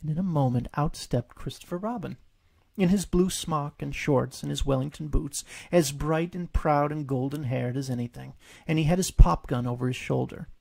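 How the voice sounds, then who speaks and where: Guy reading a storybook tale, crackly low-key gravelly voice, soft dark tone. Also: moderate pace, no echo. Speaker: author reading his own story. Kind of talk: scripted storybook narration.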